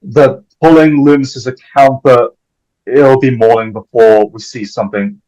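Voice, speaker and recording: A man's voice: medium pitch, steady tone, unhurried, warm tone, lo-fi sound, lightly acted. A man playing an earnest, slightly awkward young deputy in an amateur script read, hesitant and reassuring. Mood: businesslike.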